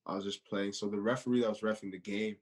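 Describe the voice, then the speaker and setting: A man's voice: fairly low pitch, fairly even intonation, fast. A man in his twenties from Canada, a podcast guest chatting casually, often repeating his phrases. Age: 20s. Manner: repeats phrases, peppers talk with "you know". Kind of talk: casual interview chat.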